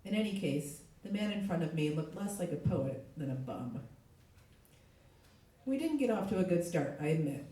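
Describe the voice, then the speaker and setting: A middle-aged woman, a literary translator, reading aloud at a live event, her voice hoarse with a cold. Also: lowish voice, animated, moderate pace, warm tone. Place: echoey indoor event space. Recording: very echoey room, distant mic.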